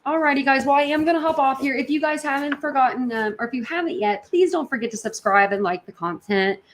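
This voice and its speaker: A woman's voice: sits high, fast talker, a little hiss or hum. A woman hosting a livestream, chatting casually with viewers in a rambling, informal way full of slang. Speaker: livestream host. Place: indoors in an apartment.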